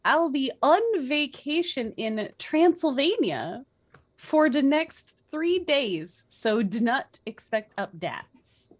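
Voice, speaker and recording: A woman reads aloud for comedy in a fairly high, lively voice. She is playfully over-emphatic and takes her words very slowly. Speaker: guest reader. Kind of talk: comedic read-aloud with casual reactions.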